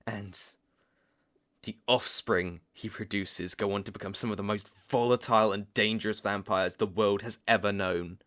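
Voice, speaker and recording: A young British man playing a stern, taunting vampire hunter in a roleplay audio drama, speaking deliberately and with cool menace. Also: fairly low pitch, steady tone, a bit bright, close to an everyday voice. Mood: grave, hostile. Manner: slow, deliberate, threatening.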